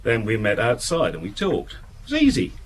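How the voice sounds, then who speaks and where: A cynical criminal fence in a radio mystery. He is blunt, brazen and unrepentant, speaking offhand in a middle-pitched voice. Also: wildly swooping pitch, brisk. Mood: brazen, boastful. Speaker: actor in a detective radio drama. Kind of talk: broadcast radio drama acting.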